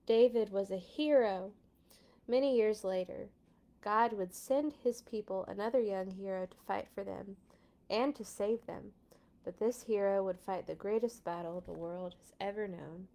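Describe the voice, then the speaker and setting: A woman hosting a children's read-aloud, expressive, with dramatic playful delivery and casual asides. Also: medium pitch, a little hiss or hum. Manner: dramatic, playful sound effects.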